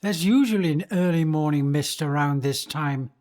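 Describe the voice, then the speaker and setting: An actress in a community radio play, playing a warden who speaks calmly and thoughtfully. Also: female voice, deep voice, moderate pace, close to an everyday voice. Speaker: reservoir warden.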